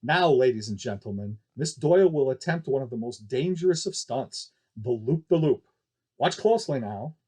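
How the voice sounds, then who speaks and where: A man's voice: medium pitch, expressive, moderate pace, a little mellow, slightly rough. A man voicing a grandly upbeat flying-circus barker in an audiobook story, with declamatory, folksy showman patter. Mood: grave, suspenseful. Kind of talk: ballyhoo announcing in an audiobook story.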